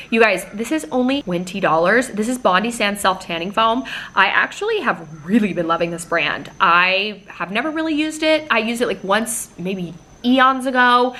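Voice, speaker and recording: Bright, gushing woman reviewing deals. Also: mid-range voice. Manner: gushing, chatty, lots of "like" fillers. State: slightly nasal from a recent cold. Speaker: shopping deals vlogger. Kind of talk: casual product review.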